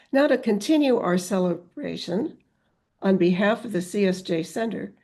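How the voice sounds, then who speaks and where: A woman presents awards in a formal, measured way. Her delivery is very unhurried, with a gravelly fry and a soft, mellow tone. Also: mid-range voice. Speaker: center director and award presenter. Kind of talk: formal prepared ceremony remarks.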